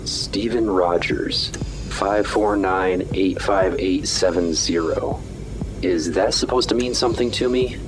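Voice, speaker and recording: A man acts a brash inventor superhero for an audio drama. He is deep-voiced, blunt and sarcastic, with music under his lines. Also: a little slow, rough recording. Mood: incredulous. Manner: blunt, mocking. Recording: music behind the voice.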